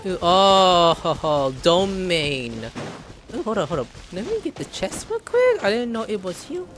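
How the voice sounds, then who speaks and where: Man, game streamer, goofy live commentary, very animated, music behind. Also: high-pitched, unhurried. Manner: goofy, breaks into sound effects and quotes. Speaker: gaming streamer reacting to a game.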